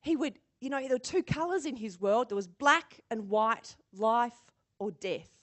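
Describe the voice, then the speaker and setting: A woman giving a motivational keynote speech as an animated storyteller who acts out quotes and laughs often. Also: fairly high pitch, normal speed. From born in Singapore. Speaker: keynote speaker.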